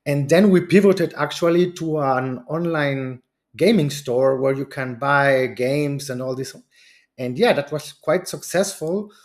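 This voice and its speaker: Man with halting English. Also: fairly high pitch. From Chile and Germany. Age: 40s. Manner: non-native English, halting restarts, chuckles often. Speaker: guest, venture capitalist. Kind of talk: casual interview talk.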